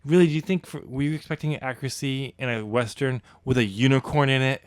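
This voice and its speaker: A man's voice: medium pitch, fast talker, no room sound, clean studio sound. A man hosting a podcast, chatting casually and laughing often about his own novel. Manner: laughs often, jokey and animated. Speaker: podcast host and author. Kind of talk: casual chat.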